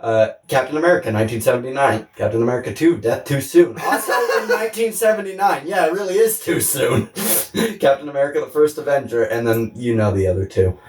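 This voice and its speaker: A man's voice: medium pitch, expressive, a little fast, darkish tone, slight background noise. A man co-hosting a comedic film podcast, chatting casually in a giggly, slangy way with rambling riffs. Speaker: podcast co-host. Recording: roomy echo, distant from mic.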